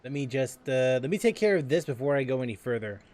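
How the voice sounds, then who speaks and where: A man's voice: medium pitch, lively intonation, quick pace, a little mellow. A man streaming a video game live. He rambles casually, with many fillers and restarts.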